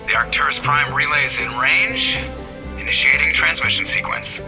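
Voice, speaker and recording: An actor playing a focused, formal starship pilot announcing procedure in a video game, clipped and controlled. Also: male voice, a little high, moderate pace, over music, close to an everyday voice.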